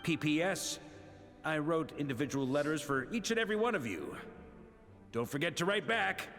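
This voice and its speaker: A man's voice: fairly high pitch, animated, moderate pace, a bit bright, in a large hall, faint music behind. A man voicing a hearty, easygoing knight commander in a video game, assured and jovial. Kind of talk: video game acting, letter narration.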